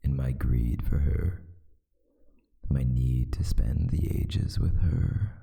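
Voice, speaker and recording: A man voicing a brooding, lovesick vampire boyfriend in a whispered ASMR roleplay, breathy and gravelly, murmuring intimately and tenderly with long pauses. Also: extremely low pitch, warm tone, faint music behind, heavily acted. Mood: bitter self-reproach.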